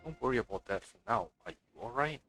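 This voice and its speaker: A man's voice: mid-range voice, lively intonation, fast, fairly bright tone, soft background music. A man giving casual, playful live commentary as he streams a game, offhand in manner.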